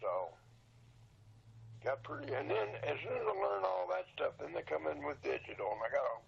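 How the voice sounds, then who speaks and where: Older guy 60s+, super gravelly raspy voice, plain casual interview talk, kinda dull lofi audio. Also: on the high side, big pitch swings, normal speed, a little hiss or hum. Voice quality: gravelly, husky. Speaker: interview guest, old radio show collector and seller. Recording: dull lo-fi sound.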